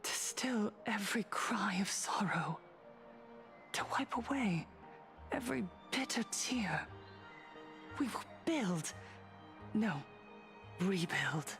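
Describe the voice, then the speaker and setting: An actress playing a fervent female cult preacher of happiness in a video game, solemn and theatrical, with breathy whispered phrase endings. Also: mid-range voice. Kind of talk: theatrical game voice acting, sermon-like speech.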